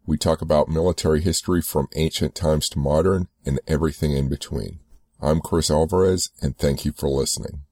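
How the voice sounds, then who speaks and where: A man hosting a history podcast, giving a measured scripted introduction and asking questions. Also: extremely low pitch, fairly even intonation, mellow, breathy edge, dry recording, studio quality. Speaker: podcast host. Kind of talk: podcast interview.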